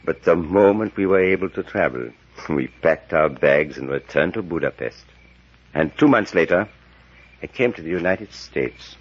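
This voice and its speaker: Very deep, husky grave professor. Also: male voice, expressive. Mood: haunted, dramatic. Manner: deliberate, dramatic storytelling. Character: grave, secretive male professor recounting horrors. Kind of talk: 1940s radio drama acting. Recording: dull vintage radio recording.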